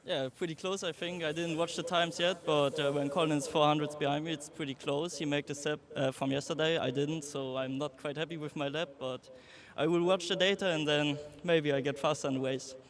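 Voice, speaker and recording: A man's voice: on the high side, fairly even intonation, brisk, few pauses. A young man, a racing driver, giving brief plain answers in a post-qualifying interview. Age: young adult.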